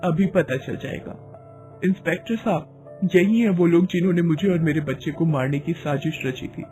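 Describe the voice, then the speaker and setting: A storyteller voicing a gentle but resolute devout young mother in an earnest, dramatic story style. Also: medium pitch, moderate pace, natural voice. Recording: music bed under voice.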